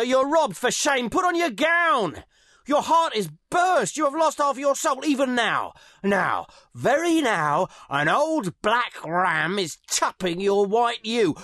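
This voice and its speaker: An actor playing a scheming, crude male villain, shouting alarms and mocking in a dramatised audiobook. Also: very high voice, no echo, studio quality, full character voice. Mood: crude taunting. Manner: coarse, taunting, shouting alarms.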